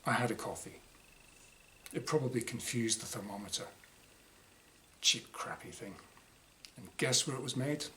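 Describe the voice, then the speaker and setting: Man reading wryly, bright voice, noisy recording. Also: mid-range voice, expressive, normal speed, pauses often. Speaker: author reading own short story. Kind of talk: dramatised reading of a first-person diary story. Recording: clear background noise.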